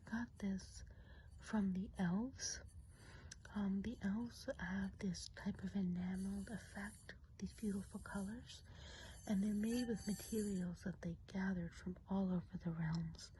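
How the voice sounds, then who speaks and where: Softly whispering woman, mellow ASMR role play. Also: mid-range voice, fairly even intonation, poor audio. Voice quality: soft breathy whisper. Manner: gentle, unhurried, reassuring. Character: kindly woodland Christmas witch. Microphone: close microphone. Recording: close whisper with audible background hiss.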